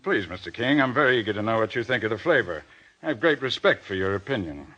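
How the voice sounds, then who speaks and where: An actor playing a genial, eager company boss in a 1940s-style radio drama, jovial and fawning, at times flustered and hesitant. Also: male voice, lowish voice, very animated, fast, bright, forceful tone, full character voice. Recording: muffled old radio drama recording.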